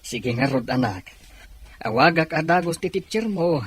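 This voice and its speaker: A man's voice: a little high, animated, moderate pace, a bit bright, a little hiss or hum. A man playing a kindly, agreeable farm boss and father in an Ilocano audio drama. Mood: supportive. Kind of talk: Filipino radio-drama dialogue in Ilocano.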